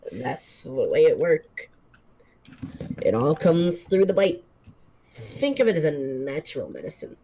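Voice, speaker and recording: A man voicing a calm, wise male snake that talks slowly and matter-of-factly. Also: a little high, a little hiss or hum, rough recording. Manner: slow, measured, matter-of-fact.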